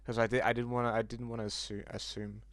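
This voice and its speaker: A man's voice: medium pitch, little pitch movement, fast talker, no echo. A man casually chatting with viewers on a livestream, reacting aloud to messages and swearing now and then.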